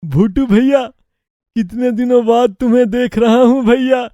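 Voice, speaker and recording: A man's voice: very high-pitched, a little slow, mellow, no room sound, muffled recording, faint music behind. A man voicing a gullible, timid ghost servant in a cartoon, with a whining, pleading, simple-minded manner. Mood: naive, timid. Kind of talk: Hindi cartoon story acting.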